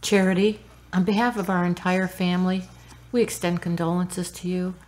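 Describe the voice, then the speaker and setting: A woman's voice: lowish voice, level tone, moderate pace, darkish tone, natural voice. An actress playing a sympathetic, courteous woman offering condolences in a radio drama, polite and formal.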